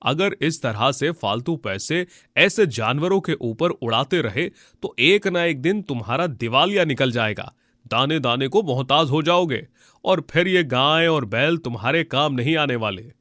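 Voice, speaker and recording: A man playing a cynical, tight-fisted village healer in an animated folk tale, scolding and dismissive in manner. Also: medium pitch, a little slow, no room sound, clean studio sound.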